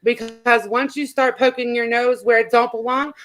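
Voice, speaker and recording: A woman with a fairly high voice hosts an informal, gossipy chat. She talks casually and reads comments aloud with a giggly manner. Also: level tone, normal speed, fairly bright tone. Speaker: host.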